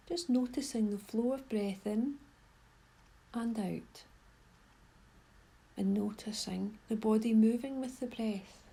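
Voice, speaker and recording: A woman leading a guided meditation in a soft, breathy voice, giving gentle, unhurried instructions with pauses. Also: fairly high pitch, low-quality recording. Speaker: mindfulness guide.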